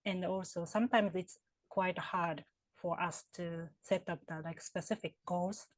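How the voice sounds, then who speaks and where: Japanese woman, lowish voice, thinks out loud with lots of ums, dry close sound. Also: fairly even intonation, measured pace, no echo. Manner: thoughtful, with many fillers and restarts. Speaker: HR consultant and career counselor. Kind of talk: interview answers.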